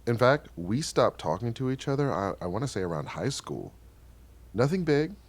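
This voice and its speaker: A man's voice: mid-range voice, big pitch swings, moderate pace. An actor playing a wistful, candid working man in a reflective first-person dramatic monologue.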